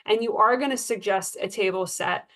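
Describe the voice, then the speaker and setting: A woman's voice: medium pitch, moderate pace, a bit bright. A woman working as a sales trainer, talking staff through tips in a conversational, emphatic coaching manner. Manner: coaching, emphatic, conversational, acts out example dialogue. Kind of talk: informal staff training talk.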